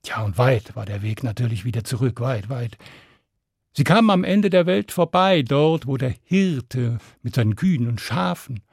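A man telling a fairy tale to children in an expressive, dramatic storyteller's manner with deliberate pauses. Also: mid-range voice, vocal fry, breathy edge, no room sound, studio quality.

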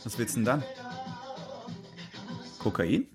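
A young man in a radio play, bantering and caring, with a teasing streak under his earnest talk. His voice sits at a medium pitch for a man. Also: very animated, slow pace, loud music behind the voice. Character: Friedrich, bantering, caring young man, second-generation immigrant in Germany. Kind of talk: dialogue in a literary radio play.

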